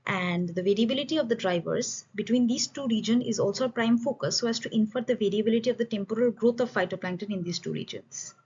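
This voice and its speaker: A woman gives an academic conference presentation as a researcher, in a formal, explanatory manner with halting phrasing. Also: a little high, a little mellow, breathy edge. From India. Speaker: student researcher presenting a study. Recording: dull, lo-fi voice recording.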